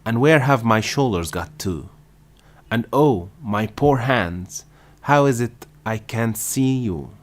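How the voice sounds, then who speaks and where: A man's voice: on the low side, animated, moderate pace, few pauses, pitched above the performer's own voice, lightly acted. A man voicing a polite young girl, earnest and puzzled, in a raised storybook delivery. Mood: bewildered.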